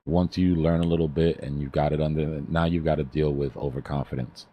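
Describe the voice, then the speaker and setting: A man's voice: rumbling low, fairly even intonation, fairly quick, warm tone. A man hosting a live trading stream, talking casually like a mentor in a blunt, emphatic way and repeating himself for stress.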